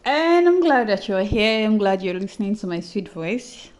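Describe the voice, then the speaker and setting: A woman's voice: mid-range voice, very animated, moderate pace, a little mellow. A young adult woman casually talking to her listeners in a personal audio journal, chatty and often repeating herself. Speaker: podcast host.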